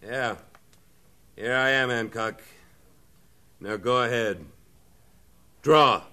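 A man playing a tough, steady cowboy rancher in a terse, hard-boiled 1950s radio western style. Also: medium pitch, slow pace, no room sound. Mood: challenging. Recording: old radio recording, faint music.

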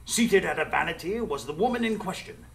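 A man playing an earnest, loyal gentleman companion who narrates a live radio drama in florid, expressive prose. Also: high-pitched, a little fast, vocal fry, heavily acted. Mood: earnest, dramatic. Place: live performance space before an audience.

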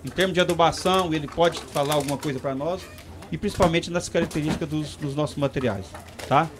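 A man's voice: fairly high pitch, background noise. A man in his thirties, a company representative, speaking informally into a handheld mic under an outdoor tent, explaining and checking in with his listeners. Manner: fast, explanatory, many tag questions. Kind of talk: informal talk to farmers.

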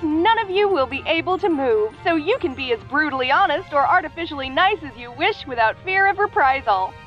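A woman's voice: high voice, a bit bright. A woman playing a cheery alien dating company announcer, reading a scripted promo in muffled lo-fi sound over music.

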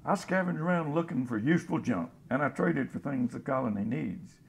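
An actor playing a folksy, plain-spoken, hopeful scavenger in a radio drama about survivors of a disaster. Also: male voice, mid-range voice, fairly quick, creaky, noisy background, natural voice. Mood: reflective, matter-of-fact. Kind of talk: radio drama, interview monologue.

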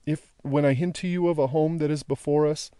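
Man voicing a tender, imploring young woman, soft-toned. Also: steady tone, a little fast, clear, resonant tone, dry recording, close to an everyday voice. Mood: fervent consoling. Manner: low, tender, imploring, rhythmic appeals. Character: gentle young woman, devoted, pleading daughter.

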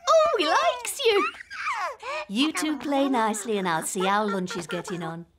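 A woman's voice: on the high side, expressive, a little slow, heavy background noise. A woman voicing a doting, busy mother in a children's cartoon, cooing and motherly. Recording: loud music bed under dialogue.

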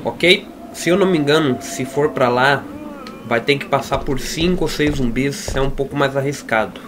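A man's voice: mid-range voice, normal speed, background noise. Brazilian man doing casual gameplay commentary. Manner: informal, slangy. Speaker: gaming YouTuber. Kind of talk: casual let's play commentary.

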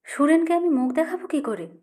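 A woman's voice: sits high, animated, a little fast, darkish tone, voice pushed up. A woman voicing an anguished middle-aged mother in an audio story, exclaiming, reproachful and close to tears. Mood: angry reproach.

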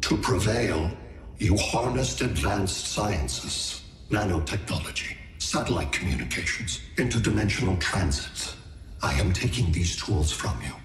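A man voicing a cold, superior alien android conqueror in a video game, deliberate, menacing and imperious. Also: sits low, animated, roomy sound, faint music behind. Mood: cold menace. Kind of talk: video game cutscene acting.

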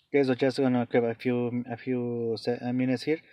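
A man explaining a phone reset step by step off camera, halting with many fillers, in non-native English phrasing. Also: mid-range voice, a little mellow, dry recording. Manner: hesitant, many fillers and restarts. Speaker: tech tutorial channel host. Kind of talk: off-camera phone tutorial voice-over.

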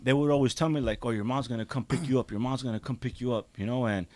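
A man's voice: medium pitch, steady tone, fast talker, darkish tone. A man in his thirties, a podcast guest raised in Mexico and California, telling his life story in a rambling way, close to a studio desk mic in a small studio. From raised in Juarez, Mexico and Azusa. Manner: rambling, repeats "you know". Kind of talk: casual storytelling.

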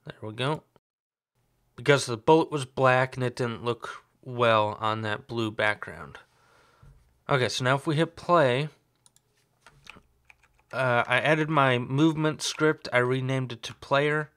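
A young man with a slight sore throat, talking through a screen-recorded coding tutorial in a relaxed, explanatory way. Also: medium pitch, a little slow, pauses often, smooth. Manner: relaxed, explanatory, thinks aloud with fillers. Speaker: tutorial host.

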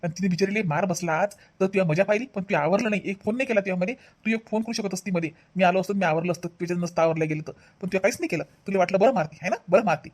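A hot-tempered cartoon husband played by a woman, quick-spoken and mid-pitched. He accuses and repeats himself melodramatically in a Vidarbha Marathi accent. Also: close to an everyday voice. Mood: angry, accusing. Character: hot-tempered husband devoted to his sister-in-law. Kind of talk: animated family comedy acting.

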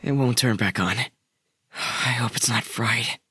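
A man voicing a soft-spoken, wistful teenage boy in a mystery game fan dub. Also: mid-range voice, slow pace, a little hiss or hum. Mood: subdued, wistful. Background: guitar music.

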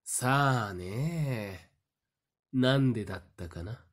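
A calm, sly werewolf mastermind played by a woman in an audio drama. The voice is very deep for a woman and slow, swinging widely in pitch as it teases. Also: exaggerated voice. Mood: smug, teasing. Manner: unhurried, teasing, theatrical. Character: calm, smiling, taunting mastermind, secretly a werewolf.

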